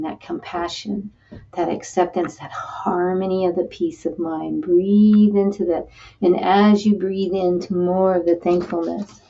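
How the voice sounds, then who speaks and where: A woman leading a guided healing meditation, talking slowly and soothingly with repeated cues to breathe. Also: fairly low pitch, gravelly, warm tone, far from the mic. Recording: dull lo-fi sound, voice set back from the mic.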